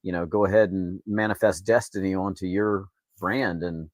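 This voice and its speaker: Joking man with a lowish, dry-recorded voice. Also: fairly even intonation, moderate pace, no room sound. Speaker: show co-host. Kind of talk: casual chat.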